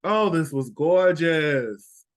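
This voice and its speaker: A man's voice: a little high, expressive. A sassy, playful man with a Texan accent, co-hosting a casual review chat. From Texas. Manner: sassy, playful, drawn-out emphasis. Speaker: co-host.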